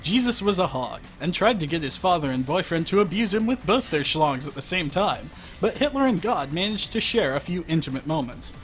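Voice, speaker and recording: Wry, high-voiced man reading aloud. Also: lively intonation, fairly quick, fairly bright tone. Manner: wry, breaks off to comment on the text. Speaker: story reader. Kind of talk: comic fan fiction reading on a livestream. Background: faint music.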